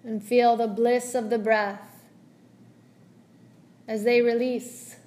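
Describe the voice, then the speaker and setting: A woman leading a guided meditation as a yoga teacher, speaking gently and soothingly in measured phrases with long pauses. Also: a little high, little pitch movement.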